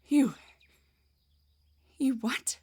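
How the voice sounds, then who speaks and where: A woman voicing an indignant, defensive half-drow bard in a scripted fantasy roleplay audio, with a breathy, theatrical delivery. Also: high voice, extremely slow, dark tone, full character voice. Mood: incredulous, exasperated. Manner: theatrical, sarcastic, emphatic, stammers when rattled. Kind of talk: scripted roleplay monologue, one side of a conversation.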